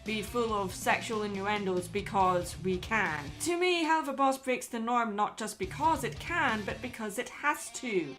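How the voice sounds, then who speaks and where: A woman delivering scripted opinion commentary as a video essayist, in a measured way with conversational asides. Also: a little high, expressive, a bit bright. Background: background music.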